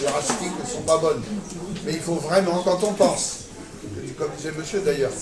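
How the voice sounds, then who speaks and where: A man's voice: a little high, distant mic. Older man, provocative, restarts, echoey hall, audience around. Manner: blunt, provocative, chuckling, hesitant restarts. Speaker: audience member. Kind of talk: provocative debate intervention.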